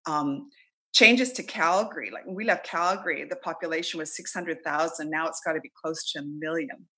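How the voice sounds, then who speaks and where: A woman's voice: deep voice, brisk, pushed and bright, poor audio. A middle-aged woman from Canada, chatting casually as an interview guest, with frequent ums and easy laughs.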